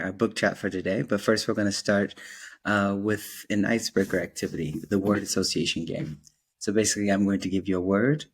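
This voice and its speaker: Low-voiced male podcast host. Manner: repeats short acknowledgments. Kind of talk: casual podcast interview.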